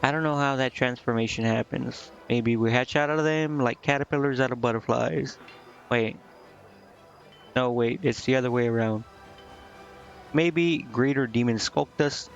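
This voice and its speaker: A man voicing an earnest, naive female succubus from a video game, musing and halting as he reads her lines. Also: medium pitch, creaky, muffled recording, soft background music. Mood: halting, reflective. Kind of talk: reading video game dialogue aloud.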